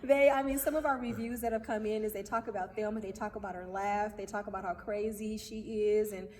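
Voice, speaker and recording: A woman chatting casually in an interview as a playwright, with an animated, laughing manner. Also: medium pitch, brisk. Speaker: playwright interviewed about her stage play. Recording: echoey hall, distant mic, faint noise.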